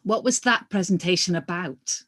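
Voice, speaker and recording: A woman's voice: lowish voice, moderate pace, a bit bright. Woman reading out formal questions, stumbling slightly. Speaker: reader of a lawyer's questions. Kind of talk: live read-aloud of a hearing transcript.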